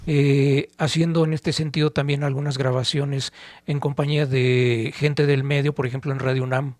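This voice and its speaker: A man's voice: mid-range voice, little pitch movement, moderate pace, darkish tone, no echo. A Mexican man, middle-aged or older, chatting casually as a podcast co-host in an enthusiastic, explanatory, emphatic way. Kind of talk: casual conversational talk recalling radio technology and history.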